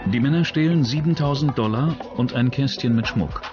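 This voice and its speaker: A man's voice: medium pitch, normal speed, dull audio. A man reading scripted true-crime documentary narration as a voice-over. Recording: voice-over with prominent music. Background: music.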